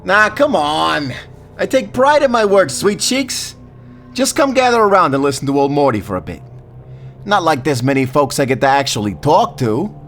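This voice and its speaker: A man performs a smug Grim Reaper for a fantasy audio drama. His voice sits a little high for a man and leaps around in pitch. He talks like a slangy, teasing wise guy, with music playing behind him. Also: very animated, normal speed, a bit bright. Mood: cocky, jovial. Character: cocky, wisecracking male Grim Reaper, casual and teasing. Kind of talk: fantasy audio roleplay character acting.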